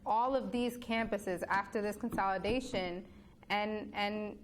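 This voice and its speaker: A woman's voice: mid-range voice, steady tone, unhurried, a little hiss or hum. A young woman, a student news correspondent, earnestly building her points in a casual panel discussion, often repeating words as she goes. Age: young adult.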